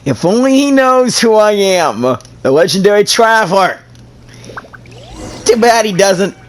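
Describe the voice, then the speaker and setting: A man's voice: high voice, animated, measured pace. A man playing a game, reading dialogue choices aloud and adding playful commentary. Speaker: gameplay streamer.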